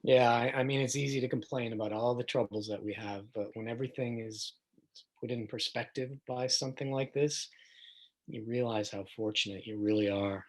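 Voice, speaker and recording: A medium-pitched male voice, a man playing a proud, appreciative school community member. His documentary-play monologue is sincere and conversational. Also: fairly even intonation, normal speed, lightly acted, poor audio. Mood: proud, thankful.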